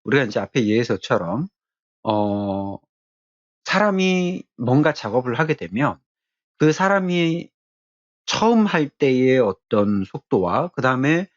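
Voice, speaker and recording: A man giving an explanatory technical lecture as an instructor, speaking haltingly and repeating his key phrases. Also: medium pitch, sing-song, no echo, lo-fi sound.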